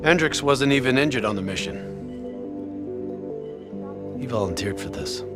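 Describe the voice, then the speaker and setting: A man's voice: medium pitch, lively intonation, normal speed, loud music behind the voice, lightly acted. An actor playing a composed, reassuring male soldier in a video game cinematic. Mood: calm, reassuring.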